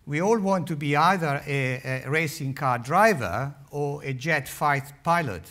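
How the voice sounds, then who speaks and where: Italian-accented surgeon lecturing with wry humor. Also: male voice, fairly high pitch, measured pace. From Italy. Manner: animated, wry storytelling with frequent uh fillers. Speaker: heart surgeon giving a public lecture. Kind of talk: public lecture with humorous asides. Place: large lecture hall, standing at a lectern. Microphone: lectern microphone.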